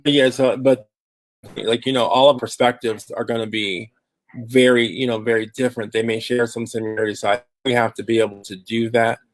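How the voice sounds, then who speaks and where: A man's voice: medium pitch, steady tone, a little fast, dry recording, low-quality recording. A man from Phoenix speaking as a panelist in a discussion over a video call, conversational, with many fillers and restarts. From Phoenix, Arizona. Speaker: panelist, diversity and inclusion professional.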